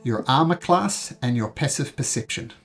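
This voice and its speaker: A man's voice: medium pitch, expressive, normal speed, a bit bright, faint music behind. New Zealand man running a tabletop game. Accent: New Zealand mixed with North American. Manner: earnest, with frequent ums and restarts. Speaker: dungeon master. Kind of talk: explaining game rules to players. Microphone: voice call microphone.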